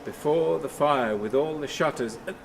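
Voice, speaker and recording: An actor playing a haunted, restless man in a radio play monologue, halting and repetitive, moving between muttering and command. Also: a little high. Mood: rambling, bitter reminiscence. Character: haunted, rambling man talking to his dead father, brooding and restless. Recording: clearly noisy, steady ambient sound.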